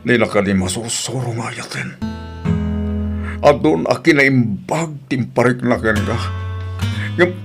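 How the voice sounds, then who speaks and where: A man's voice: mid-range voice, animated, measured pace, long pauses, over music. A man with an Ilocano accent acting in an audio drama, playing a heartbroken, pleading spurned lover. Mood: hurt, pleading.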